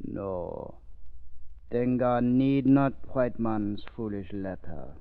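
A man's voice: medium pitch, slow pace, smooth, close to an everyday voice. An actor playing a dignified, grieving old island houseman who speaks solemn broken English in a vintage radio drama. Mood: solemn, proud. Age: older. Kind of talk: 1940s radio acting. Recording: muffled, phone-like old radio recording.

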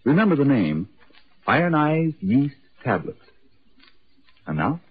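An American man announcing for 1940s radio in a formal, deliberate, emphatic scripted style. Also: medium pitch, very animated, slightly rough. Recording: tinny 1940s radio broadcast.

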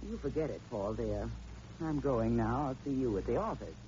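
A woman's voice: extremely low pitch, expressive, moderate pace, dark tone. An actress playing a cool, scheming, sardonic woman in an old-time radio drama, with an arch, mocking, theatrical delivery. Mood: threatening. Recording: dull, noisy old radio recording.

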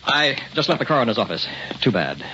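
A man's voice: medium pitch, sing-song, brisk, lightly acted. An actor playing a grave, wary man in old-time radio drama, speaking in a terse, subdued way. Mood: grim. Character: grave, wary man uneasy about a discovery. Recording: muffled, noisy old radio recording.

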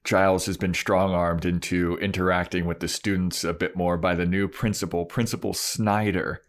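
A man's voice: low-pitched, little pitch movement, moderate pace. A man co-hosting a podcast, casually recapping a TV episode in slangy talk and acting out quoted asides. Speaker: podcast co-host.

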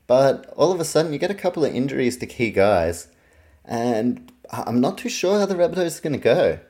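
A man's voice: mid-range voice, sing-song, a little fast, dark tone. A man with a New Zealand accent giving a casual, opinionated solo sports preview as a podcast host, informal and sweary. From Auckland, New Zealand.

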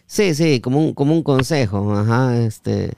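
A man hosting a casual interview, halting and repeating words as he forms his questions. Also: mid-range voice, lively intonation, gravelly, mellow, a little hiss or hum. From Guatemala. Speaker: podcast host.

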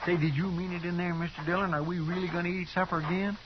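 An actor playing an eager, deferential and simple sidekick in a 1950s radio western, folksy and full of questions. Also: male voice, sits high, little pitch movement, quick pace, close to an everyday voice. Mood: puzzled, eager. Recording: muffled, noisy old radio recording.

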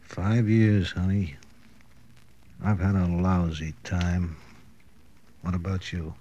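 Bitter weary crook, slow drawn-out talk, very low voice, vintage radio crime drama, muffled sound. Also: male voice, little pitch movement, mellow.

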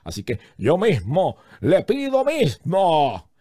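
Guy telling a funny story, really animated, kinda mellow voice, dry close sound. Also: fairly high pitch, slow pace, no echo. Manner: animated storyteller, acts out other people's remarks. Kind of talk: casual comedic storytelling.

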